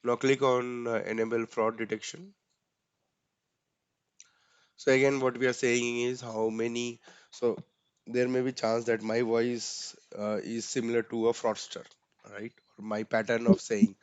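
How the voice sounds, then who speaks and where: A man with an Indian accent walks through software settings step by step. He talks casually, with many fillers and a frequent "right?" at the end of his points. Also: medium pitch, level tone, measured pace, smooth, dry recording, lo-fi sound. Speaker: instructor.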